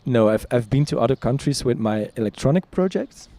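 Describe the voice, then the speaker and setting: Hesitant, chatty man with a mellow voice. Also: medium pitch, animated, brisk. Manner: hesitant, chatty, laughs often. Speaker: vlog host, singer-songwriter. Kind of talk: casual conversation.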